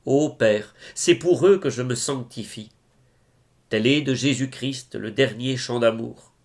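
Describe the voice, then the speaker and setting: Male reader for religious verse: solemn, reverent, unhurried delivery with a creaky, gravelly edge. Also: mid-range voice. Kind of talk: solemn devotional poetry recitation.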